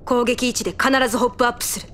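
A woman's voice: a little high, steady tone, rapid-fire, fairly bright tone, a little hiss or hum, lightly acted. A woman voice actor playing a cool, resolute warship captain with a terse, commanding delivery in a military audio drama. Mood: cool, calculating. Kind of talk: Japanese anime-style military radio drama acting.

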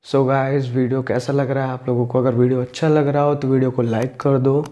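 A man's voice: medium pitch, brisk, loud music behind the voice. A young man talking to camera on a lapel mic in a small room, a fitness vlogger chatting casually and asking viewers to like and subscribe. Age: young adult. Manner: steady, flat delivery with repeated calls to like and subscribe. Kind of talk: casual vlog talk to camera.

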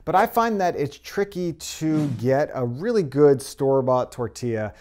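A man's voice: a little high, animated, normal speed, a little mellow. A man in his thirties hosting a casual cooking tutorial in his home kitchen, upbeat and chatty as he explains each step into a lapel mic. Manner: upbeat, conversational, explains as he cooks. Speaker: cooking channel host.

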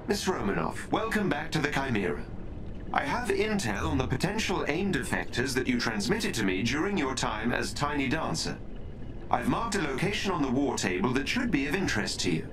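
An actor voicing a polite, formal AI butler assistant in a video game, courteous in manner. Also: male voice, mid-range voice, very animated, fairly quick, noisy background, natural voice. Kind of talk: video game cutscene acting.

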